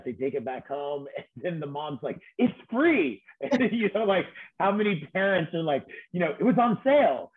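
Phone-like, higher-voiced man hosting. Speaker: panel host. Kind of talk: conversational event moderating. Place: video call from a room at home. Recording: thin phone-like video call audio.

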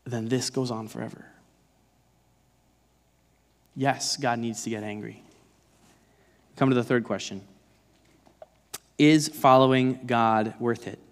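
Male preacher, thirties, mid-pitched, conversational but emphatic, big-hall reverb. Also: fairly even intonation, unhurried, pauses often. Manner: emphatic, dramatizes points with rhetorical questions. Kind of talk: conversational sermon. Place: large dark church stage, indoors.

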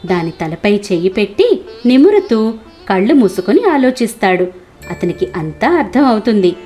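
A woman's voice: mid-range voice, big pitch swings, moderate pace, mellow, faint music behind. A woman storyteller reading scripted narration for a cartoon moral tale.

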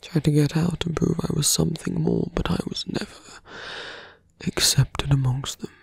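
A man playing a seductive, embittered slum-born villain in a fantasy ASMR roleplay, speaking slowly and intimately in a breathy, gravelly half-whisper. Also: a little high, fairly even intonation, dry recording, full character voice. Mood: bitter, intimate. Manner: slow, intimate, half-whispered, deliberate pauses.

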